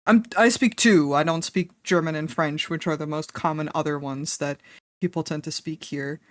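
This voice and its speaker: A woman's voice: sits low, fairly even intonation, normal speed, no room sound, clean studio sound. A young woman from the Netherlands with a Dutch accent, chatting casually and candidly on a stream, laughing often and swearing freely. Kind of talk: casual stream chat while drawing.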